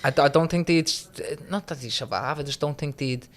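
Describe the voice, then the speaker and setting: A young man from Liverpool with a softened Scouse accent, chatting casually as a podcast guest and often laughing. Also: a little high, quick pace. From Liverpool, England. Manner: chatty, laughs often, frequent "do you know what I mean". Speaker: guest, trading company CEO.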